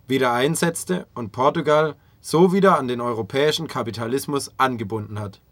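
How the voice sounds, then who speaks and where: A man's voice: mid-range voice, fairly bright tone, dry recording. Dry-sounding man narrating a documentary. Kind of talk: scripted narration.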